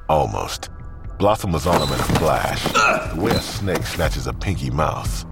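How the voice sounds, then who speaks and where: A man narrating a scripted audio drama. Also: a bass voice. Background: music.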